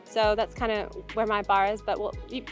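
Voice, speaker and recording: A young woman athlete from Uganda, speaking in a relaxed, reflective way with casual fillers in a documentary interview. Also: mid-range voice, moderate pace, faint music behind. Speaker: Olympic rower.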